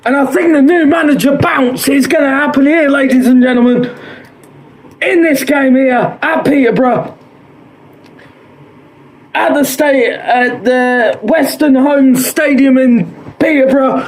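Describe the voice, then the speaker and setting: Hoarse high-voiced man giving football tips. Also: a little slow, low-quality recording. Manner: chatty, quotes odds, repeats himself, frequent "uh". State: hoarse, losing his voice. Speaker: football predictions host. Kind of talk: solo football score predictions.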